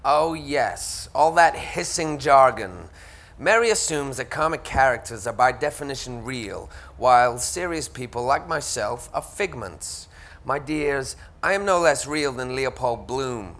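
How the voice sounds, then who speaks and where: A man's voice: medium pitch, animated, a little slow, fairly bright tone. A man voicing a camp, witty male literary critic with an arch, theatrical drawl.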